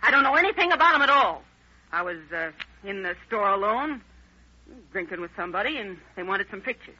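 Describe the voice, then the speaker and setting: An American actress playing a brassy, evasive gangster's moll in an old-time radio crime drama, with slangy, defensive delivery. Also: female voice, mid-range voice, expressive, fairly quick, pushed and bright, breathy edge, heavily acted. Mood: rattled, defensive. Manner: slangy, defensive, streetwise drawl. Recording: dull, lo-fi old radio recording.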